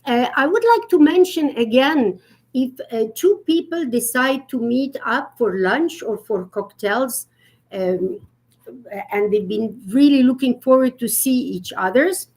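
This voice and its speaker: Woman etiquette guest, higher voice, earnest and explanatory, pauses with fillers. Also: animated, a little mellow, poor audio. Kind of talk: conversational live-stream talk.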